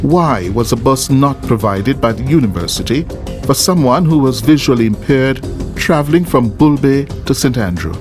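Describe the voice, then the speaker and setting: A Jamaican man delivers scripted news narration as a reporter. His voice sits at a middling pitch, with clearly audible music underneath. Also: lively intonation, moderate pace. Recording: music bed behind the voice. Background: background music.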